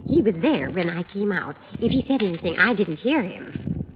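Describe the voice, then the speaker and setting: Rich: A fussy, prim middle-aged witness, played by an actress, emphatic and defensive, her pitch swooping up and down. It sounds like a dull, lo-fi old radio broadcast with audible hiss. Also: expressive, moderate pace, lightly acted. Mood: insistent. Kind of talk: 1950s radio drama acting.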